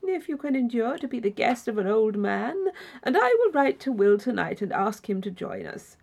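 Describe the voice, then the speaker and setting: A woman voicing a courteous, formal older gentleman in an audiobook story, a solicitous and welcoming host. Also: a little high, sing-song, normal speed, vocal fry, heavily acted. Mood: apologetic, welcoming.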